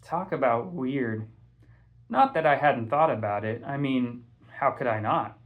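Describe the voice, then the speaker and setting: A man reading a first-person story aloud in an expressive, casual, conversational way. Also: medium pitch, measured pace, phone-quality sound. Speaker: audiobook reader, teacher.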